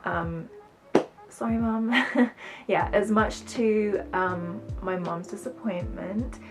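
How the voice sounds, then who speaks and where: A woman's voice: mid-range voice, fairly even intonation, slow pace, over music. A woman with a mostly British accent touched with South African and American, chatting casually and ramblingly to camera as a beauty vlogger. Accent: mostly British with slight South African and American touches. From born in the UK, raised as a teenager in South Africa. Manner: rambling, chatty, self-conscious asides.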